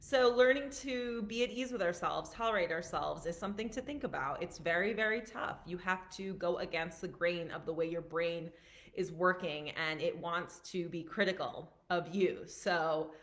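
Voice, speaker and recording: A woman in her thirties, a physician and life coach, talking casually on a live stream in a loud, projecting voice with lots of chatty asides. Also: on the low side, normal speed. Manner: chatty, many fillers and restarts.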